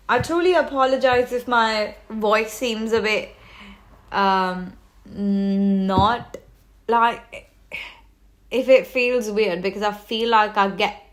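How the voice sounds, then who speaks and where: A woman giving a live tarot reading at an unhurried, slow pace. She rambles, restarts her sentences and leans on fillers, with a cold starting to catch her voice. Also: fairly high pitch. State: coming down with a cold. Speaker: tarot reader hosting a livestream.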